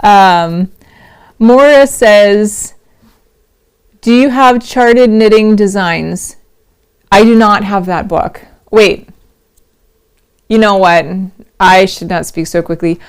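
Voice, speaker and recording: A middle-aged woman talks casually on a livestream from home, rambling and laughing often. Her voice sits at an ordinary pitch and stays smooth and clear, though it has gone a little hoarse. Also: slow, pauses often. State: slightly hoarse. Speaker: host of a knitting livestream.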